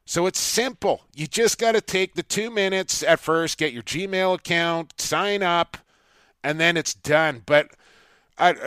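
A man's voice: a little high, measured pace, a bit bright, clear, resonant tone, no room sound, studio quality. A man hosting a sports podcast, chatting casually and colloquially with enthusiasm for the games.

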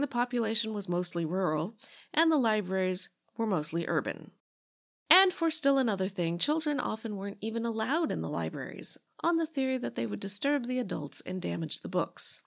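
A woman's voice: fairly high pitch, gravelly. A woman hosting a podcast, reading scripted history narration in a wry, emphatic way. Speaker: podcast host.

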